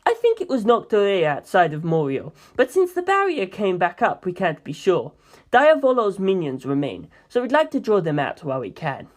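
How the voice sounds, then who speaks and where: A woman voicing a composed, dryly evasive male mafia member in a measured, matter-of-fact way for a story reading. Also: medium pitch, huge pitch swings.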